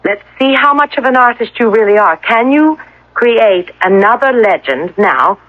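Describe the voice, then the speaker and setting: A woman's voice: a little high, expressive, normal speed, close to an everyday voice. A woman acting in a radio drama as a determined captive, coaxing and probing with insistent persuasion. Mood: challenging, commanding. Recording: dull, dry lo-fi radio broadcast.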